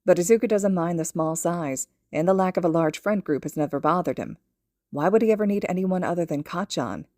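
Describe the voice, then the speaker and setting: Female story narrator, lowish pitch, scripted read, dry recording. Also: brisk, a little mellow, no room sound. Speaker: podfic narrator.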